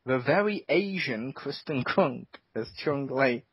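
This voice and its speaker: A man's voice: medium pitch, lively intonation, a little slow, breathy edge, dry recording. A man hosting a podcast, chatting in a rambling, jokey way. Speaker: podcast host. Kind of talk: casual chat. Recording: dull lo-fi dry sound.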